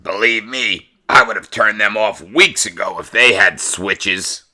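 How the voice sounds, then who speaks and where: A man narrating a children's picture book as a read-aloud host, theatrical and deliberate in his storytelling. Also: on the low side, sharp tone.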